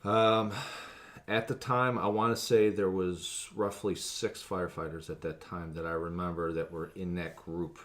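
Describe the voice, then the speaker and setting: A man speaking as a fire officer in an interview, recounting an incident in a measured, matter-of-fact way. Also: low-pitched, little pitch movement.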